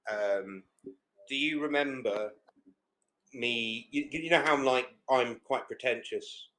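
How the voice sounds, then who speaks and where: A man's voice: mid-range voice, slow, sharp tone, low-quality recording. A young man hosting a casual film podcast, talking in an enthusiastic, rambling way with lots of fillers and restarts. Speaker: podcast host. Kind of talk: casual chat.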